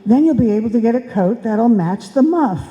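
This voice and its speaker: Casting: female actor as sentimental, self-sacrificing wife for old-time radio comedy, soft dark tone, muffled live hall recording. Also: a little high, animated, normal speed, natural voice. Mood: reassuring. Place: indoor hall stage, live performance. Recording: dull sound in a reverberant hall.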